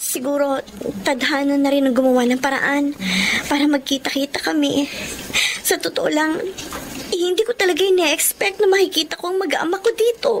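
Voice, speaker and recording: An actress playing a remorseful estranged wife with a pleading, hesitant, emotional delivery in a Tagalog radio drama. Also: female voice, high voice, steady tone, brisk, slight background noise.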